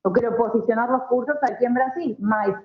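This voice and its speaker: High-voiced Argentine woman, roomy muffled sound. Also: a little fast. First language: Spanish. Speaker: guest, marketing professional. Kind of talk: casual conversation, mixing Portuguese and Spanish.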